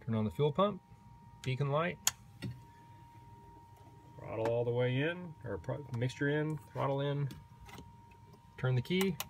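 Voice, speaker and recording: A man with an ordinary mid-range voice talks through his homemade cockpit in a casual, matter-of-fact way, full of little fillers. The sound comes from a handheld camera in a basement, with a clear rumble and noise underneath. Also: measured pace, pauses often. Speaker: flight sim hobbyist giving a tour. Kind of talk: casual walkthrough narration. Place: basement home flight simulator. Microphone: handheld camera mic, moving around.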